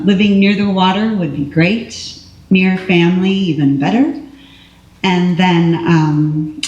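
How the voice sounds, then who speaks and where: A woman speaking as an actor on a panel, telling a personal story in an earnest, warm way. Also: on the low side. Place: public panel event room. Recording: roomy echo.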